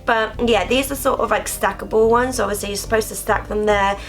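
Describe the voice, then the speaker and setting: A woman's voice: mid-range voice, steady tone, brisk. A woman vlogging casually about her collectibles, chatty and rambling, getting over a cough and coming down with a cold. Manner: chatty, rambling, leans on filler words like "obviously" and "actually". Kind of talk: casual haul vlog.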